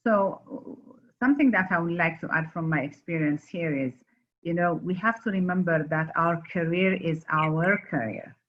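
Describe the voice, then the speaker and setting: A woman hosting a career interview, giving measured advice and asking questions. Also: fairly low pitch, highs cut off. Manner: measured, advising, some restarts. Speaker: interview host.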